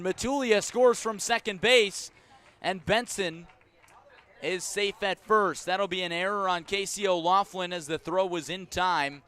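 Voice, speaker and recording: An American man doing live radio baseball commentary, descriptive and clipped, with phrases that quicken and lift on the action. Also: sits high, fairly bright tone. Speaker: baseball play-by-play announcer. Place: outdoor baseball stadium at night.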